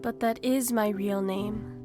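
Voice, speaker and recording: A woman playing a young gifted fugitive who narrates her own story in a measured, earnest audio drama style. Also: mid-range voice, steady tone, over music, lightly acted. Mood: guarded. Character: young gifted female fugitive, wistful and plain-spoken. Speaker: narrator and lead. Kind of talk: scripted first-person audio drama narration.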